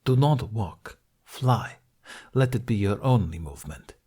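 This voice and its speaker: A blunt, commanding riding mentor in a fantasy audiobook, played by a man. He speaks in deliberate, clipped, formal phrases with total assurance, and his intonation moves in a lively way. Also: on the low side, slightly rough. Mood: patient, assured. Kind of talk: audiobook character acting.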